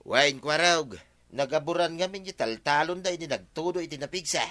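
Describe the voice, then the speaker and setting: A man's voice: fairly high pitch, animated, normal speed, pushed and bright. A man with an Ilocano accent playing a hardworking village farm labourer in a radio drama. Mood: busy, plain.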